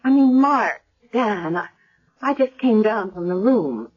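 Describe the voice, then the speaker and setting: A woman's voice: mid-range voice, lively intonation, slow pace, a little mellow. An actress playing an earnest, worried woman doctor in a 1970s radio drama. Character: concerned woman hospital doctor. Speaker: hospital doctor. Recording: dull lo-fi vintage radio recording.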